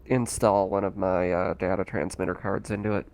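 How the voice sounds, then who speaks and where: A man chatting casually as a player in a tabletop game, hesitant and pausing to think. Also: sits low, fairly even intonation, darkish tone.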